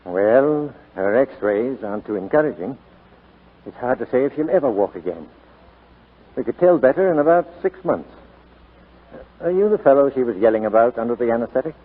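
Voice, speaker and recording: An actor playing a grave, frank but kindly hospital doctor in a 1940s radio drama. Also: male voice, medium pitch, lively intonation, normal speed, long pauses, breathy edge. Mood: grave, measured. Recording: tinny 1942 radio broadcast.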